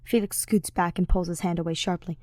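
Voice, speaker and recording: A woman's voice: on the low side, fairly even intonation, a little fast, warm tone, dry recording, studio quality. A woman reading scripted story narration as an audiobook narrator.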